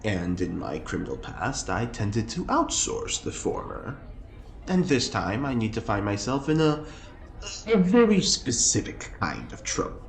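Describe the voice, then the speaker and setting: An actor playing a refined, squeamish prison schemer in an audio drama, prim, wry and theatrically indignant. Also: male voice, on the low side, big pitch swings, unhurried, gravelly, reverberant, exaggerated voice. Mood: wry scheming. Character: refined, fussy, squeamish male convict schemer in space prison.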